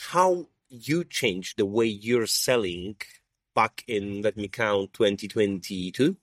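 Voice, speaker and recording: A man hosting a casual interview on a rooftop, talking in halting bursts with many fillers and restarts. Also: on the low side, no echo, clean studio sound. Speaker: podcast host. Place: sunny rooftop at a conference.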